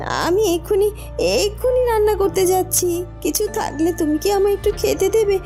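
A woman plays a pitiful village orphan girl in a cartoon. The voice is very high and mellow, with a submissive, pleading manner. Light music sits behind it. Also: big pitch swings, moderate pace. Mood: meek, apologetic. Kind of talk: cartoon story acting.

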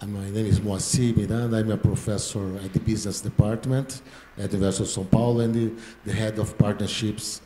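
A middle-aged man with a Brazilian Portuguese accent asks a formal audience question. His voice is fairly low and rings with the echo of a big hall, over faint background noise. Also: little pitch movement, quick pace, hardly any pauses, warm tone. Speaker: professor asking an audience question. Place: auditorium audience floor. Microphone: handheld. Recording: echoey hall with slight noise.

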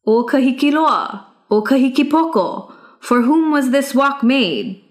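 Woman voicing bold youth calling out, raised pitch. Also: very animated, unhurried. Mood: bold, challenging. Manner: chanting, declamatory calls and questions. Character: bold young chief's son, chanting challenger in a legend.

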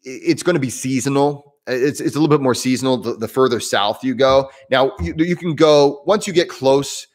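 A man in his thirties from southern Indiana, with a southern Indiana Midwestern accent, hosting a casual live Q&A stream from a room at home on a desk microphone and talking in a chatty, animated way. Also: mid-range voice. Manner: chatty and animated, frequent self-restarts and laughs, gestures with hands. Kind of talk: casual live-stream talk answering viewer questions. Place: home room, indoors, medium size. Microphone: desk microphone in front, webcam framing.

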